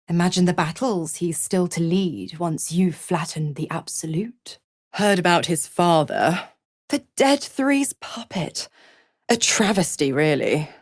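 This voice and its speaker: A woman's voice: fairly low pitch, no room sound, clean studio sound. An actress playing a seductive, scheming female devil in a video game, with a teasing, sardonic, theatrical and condescending drawl. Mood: wry, goading. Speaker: video game character actor.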